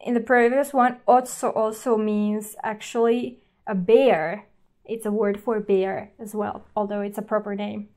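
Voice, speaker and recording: A woman's voice: medium pitch, little pitch movement, a little slow, a little mellow. A woman with a Finnish accent, a native Finnish speaker and teacher, reviewing a language app and explaining as she goes. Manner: explains and comments while trying the app. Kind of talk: app review, talking to camera.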